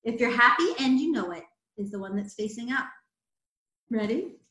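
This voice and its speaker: A woman's voice: fairly high pitch, lively intonation, moderate pace, sharp tone, reverberant. An American woman in her thirties hosting children's storytime, speaking to young children in an encouraging, exaggerated way from a small room at home. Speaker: library storytime host. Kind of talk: children's storytime, talking and sing-along. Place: indoors, small home room with closet doors.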